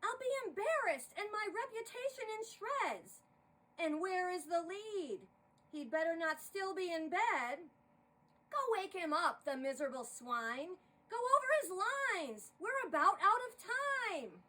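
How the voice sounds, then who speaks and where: A woman voicing a frantic, grouchy female theatre director, shouting her complaints melodramatically in a story. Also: very high-pitched, sing-song, measured pace, pitched above the performer's own voice, exaggerated voice, rough recording. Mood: furious panic.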